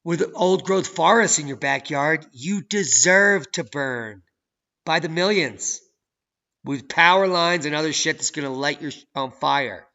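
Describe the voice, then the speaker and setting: A man hosting a channel, reading news and weather maps with sarcastic, profane commentary that swings from low mutters to loud outbursts. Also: unhurried, muffled recording. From United States. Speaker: channel host.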